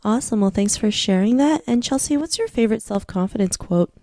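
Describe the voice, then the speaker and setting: A woman's voice: mid-range voice, animated, brisk, mellow, no room sound. A woman hosting a podcast interview, upbeat and encouraging as she welcomes her guest and asks questions. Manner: upbeat, encouraging, scripted intro. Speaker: podcast host.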